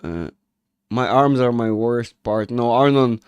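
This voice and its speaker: A man hosting a live market chat with viewers, rambling and restarting sentences, his voice rough from a cold. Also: medium pitch, a little slow, dry recording. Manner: rambling, self-interrupting, reads chat aloud. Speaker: market analysis livestream host. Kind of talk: casual livestream chat with viewers.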